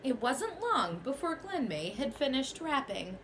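A young woman teacher reads a children's picture book aloud, taking it slowly and letting her voice swoop up and down. Her voice sits a little high. She records close to a phone camera in a small bedroom, with a faint hiss behind her. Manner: expressive storytelling, first person as the goat.

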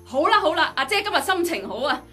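Lady doing a perky hong kong cantonese flower girl, really high voice, teasing, radio play. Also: sing-song, fast talker, pushed and bright, full character voice. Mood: coaxing. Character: cheeky, cheerful young female flower seller. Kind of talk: radio drama acting. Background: music.

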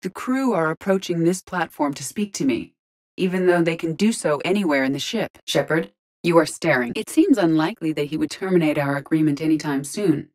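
Female android AI, low pitch, calm, logical, precise diction, formal, measured, video game character. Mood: calm, matter-of-fact. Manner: precise, formal, measured, emotionally restrained delivery. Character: calm, logical female ship artificial intelligence in android body. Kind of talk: scripted video game voice acting.

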